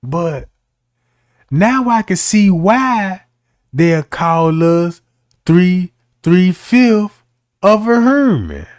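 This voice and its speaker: A man's voice: sits high, big pitch swings, drawn-out words, a little mellow, no echo, studio quality. A man giving casual commentary as a streamer, talking in a slangy, argumentative way.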